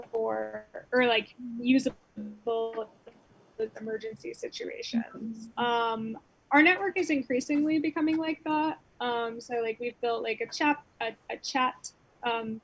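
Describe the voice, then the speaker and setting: A woman's voice: fairly high pitch, fairly bright tone, a little hiss or hum, poor audio. A woman organizing a community network, explaining things casually with many fillers and restarts over a video call. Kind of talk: casual explanation in an online meetup.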